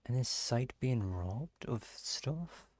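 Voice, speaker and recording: A man with a gravelly, husky voice doing casual gameplay commentary, musing aloud in halting bursts. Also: medium pitch, animated, darkish tone, no echo. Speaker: game streamer.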